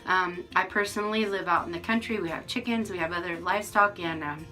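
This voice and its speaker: Bright-voiced chatty woman in her forties. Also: lowish voice, a little fast, soft background music. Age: 40s. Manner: chatty, frank, many ums and restarts. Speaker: vlogger. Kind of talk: casual vlog update to camera. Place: rural home.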